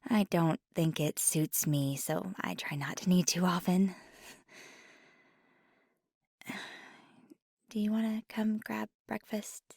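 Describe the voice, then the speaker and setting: Nervous female paranormal investigator played by a woman, ASMR roleplay. Gravelly fry, halting and earnest, very dry close sound. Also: fairly low pitch, steady tone, darkish tone, dry recording, full character voice. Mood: earnest, subdued. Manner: hesitant, stammering, rambling. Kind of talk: ASMR roleplay, intimate one-sided dialogue.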